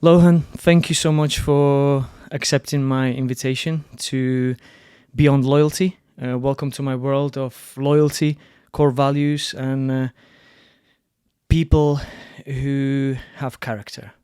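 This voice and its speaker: A man's voice: medium pitch, level tone, slow, warm tone, clear, resonant tone, no echo, studio quality. A man hosting a podcast, asking questions and backing up his guest with short affirmations. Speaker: podcast host. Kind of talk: casual interview.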